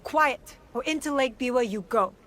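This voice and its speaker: A woman's voice: sits high, normal speed, a bit bright. A woman playing a blunt, wary young shinobi in a video game, with dry, terse delivery. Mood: playful irritation. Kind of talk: video game cutscene voice acting.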